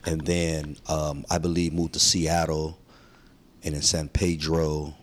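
A man's voice: very deep voice, little pitch movement, slow pace. A man. He talks as an interview guest in a casual, earnest way, using street slang and repeating phrases for emphasis. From San Francisco, United States. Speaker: podcast guest.

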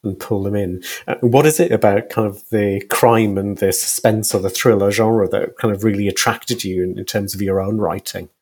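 A man's voice: fairly low pitch, steady tone, moderate pace, few pauses, a little mellow, husky. A man hosting a podcast interview, asking long, discursive questions in a conversational, hedging way. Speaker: podcast host.

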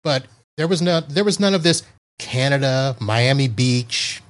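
A man's voice: mid-range voice, lively intonation, a little slow. Laughing male podcast host, joking. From Florida, US. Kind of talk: casual interview chat.

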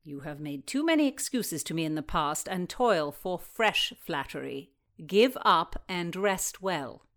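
A woman's voice: lowish voice, expressive, measured pace, fairly bright tone. Noblewoman, voiced by a woman narrator for period audiobook, commanding, dry wit, formal delivery. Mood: firm, wry. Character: resolute, sharp-witted noblewoman and peacemaker. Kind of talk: dramatized historical fiction reading.

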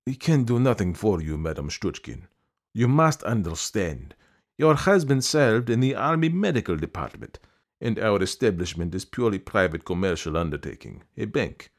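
A man's voice: lowish voice, very animated, moderate pace. A man voicing a weary, irritable male bank official in a story, curt and languid as he explains himself. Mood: weary, dismissive.

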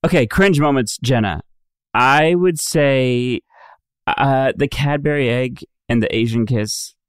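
Male podcast co-host, middle pitch, dry studio mic, upbeat and friendly. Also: takes it slowly, smooth, no room sound. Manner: upbeat, encouraging, laughs easily. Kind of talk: casual rewatch podcast chat.

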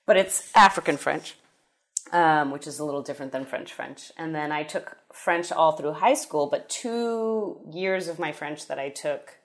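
Woman, low voice, casual podcast guest, rambling storyteller. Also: normal speed. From United States. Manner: rambling, self-correcting storyteller.

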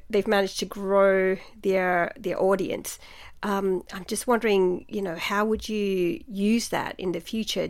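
A woman hosting a podcast, reading a scripted introduction and asking interview questions in a measured way. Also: mid-range voice, gravelly. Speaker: podcast host.

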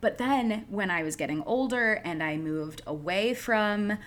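A woman's voice: mid-range voice, expressive, unhurried, fairly bright tone. A young adult woman from outside Philadelphia, co-hosting a podcast and telling candid, emphatic stories in casual chat.

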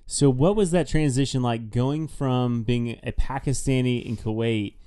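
A man's voice: mid-range voice, a little slow, warm tone, smooth, no echo, studio quality. A man hosting a podcast who introduces his guest in an upbeat, joking way. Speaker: podcast host. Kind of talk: casual interview chat.

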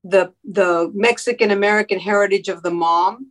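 A woman from Miami speaks as a guest in an interview, her voice at an ordinary pitch. She explains her views earnestly and at length. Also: normal speed. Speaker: guest actress. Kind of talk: conversational interview.

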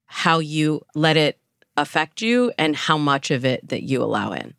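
A woman giving articulate, measured explanations as a dating coach in a podcast interview. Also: sits low, big pitch swings, gravelly, fairly bright tone, clear, resonant tone, no room sound, studio quality.

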